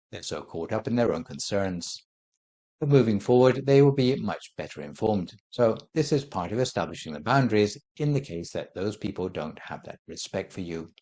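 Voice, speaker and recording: A Buddhist monk giving a calm dharma talk, explaining his points in a measured, gentle way. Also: lowish voice. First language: not Thai.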